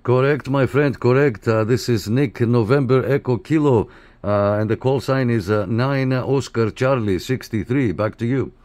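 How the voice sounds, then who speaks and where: A man's voice: mid-range voice, moderate pace, darkish tone, slight background noise. A man making casual CB radio contact, friendly and often repeating his phrases. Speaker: CB radio operator and video maker. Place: indoor room at a radio desk.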